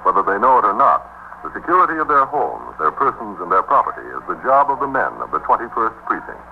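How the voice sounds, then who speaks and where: A man's voice: fairly low pitch, huge pitch swings, fast talker, vocal fry, full character voice. A man playing a solemn, authoritative narrator, opening a 1950s radio police drama with emphatic phrasing. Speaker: announcer of the opening. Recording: muffled, noisy 1950s radio recording.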